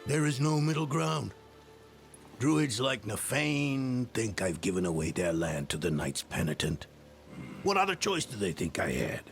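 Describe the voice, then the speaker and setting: An actor playing a world-weary older nobleman and former demon slayer in a fantasy game, grave and measured. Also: medium pitch, very animated, gravelly, soft background music. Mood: defensive. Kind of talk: dramatic fantasy game acting.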